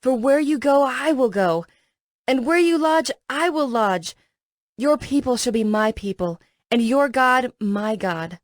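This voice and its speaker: A woman's voice: a little high, expressive, a little slow, no echo, studio quality. A woman playing a devoted, resolute young widow in a dramatized audio Bible, speaking earnestly and emphatically. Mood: resolute devotion.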